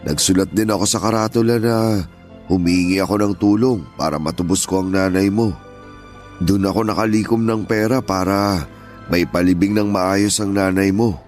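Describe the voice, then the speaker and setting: A man playing an aging father in a radio drama, stern at first and then gentle and pleading with his grown son. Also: deep voice, steady tone, moderate pace, soft background music, lightly acted. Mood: sorrowful. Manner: pleading, earnest, scolding turning gentle. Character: aging father, stern yet tender, grieving. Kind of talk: Tagalog radio drama acting.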